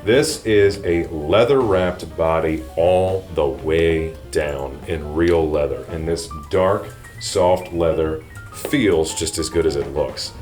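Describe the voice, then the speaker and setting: Deep voiced guy doing a product review, explains stuff, into it, music playing behind. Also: takes it slowly, a little mellow. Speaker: product reviewer and channel host. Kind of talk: scripted product review narration to viewers.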